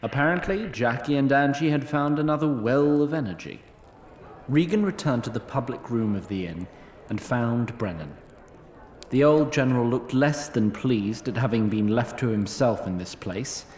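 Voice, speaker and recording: A man's voice: medium pitch, expressive, moderate pace, darkish tone, reverberant, natural voice. A man playing a haughty immortal storyteller, narrating a fantasy audio drama in a droll, formal, arch manner. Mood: arch, wry. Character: wry, lofty immortal storyteller, haughty toward mortals.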